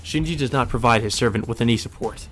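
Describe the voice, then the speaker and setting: A man's voice: medium pitch, fast talker, fairly bright tone, soft background music. A man reading visual novel narration aloud in a dramatic first-person storytelling style for a let's play.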